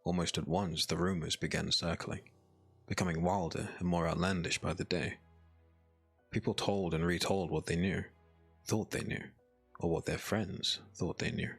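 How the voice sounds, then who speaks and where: Low-voiced solemn male storyteller. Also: fairly even intonation, normal speed, vocal fry, slightly rough, faint music behind. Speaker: narrator. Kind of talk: scripted dark fantasy audiobook narration.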